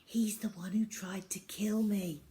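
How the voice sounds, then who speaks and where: A woman's voice: medium pitch, steady tone, unhurried, mellow, slight background noise, close to an everyday voice. A woman voicing a brave, urgent young girl in a children's storybook, pleading and insistent. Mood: hushed, afraid.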